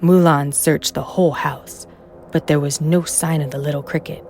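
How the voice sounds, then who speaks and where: Woman, very low voice, storybook narrator, expressive read-aloud for children, soft music underneath. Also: normal speed. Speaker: storybook reader.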